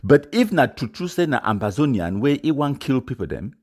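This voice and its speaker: A man's voice: mid-range voice, sing-song, fairly quick, a little mellow. A man with a Cameroonian accent giving informal, emphatic storytelling commentary, repeating phrases for stress. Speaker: online commentator. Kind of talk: informal storytelling commentary in Pidgin mixed with English.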